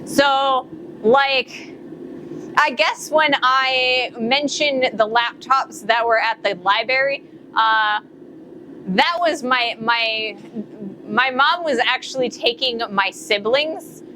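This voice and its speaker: A woman's voice: high voice, slow, bright, forceful tone, slight background noise, rough recording. A woman in her late thirties chatting casually on a live stream while forging, rambling with many fillers and self-corrections. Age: 30s. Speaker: blacksmith streamer. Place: forge workshop.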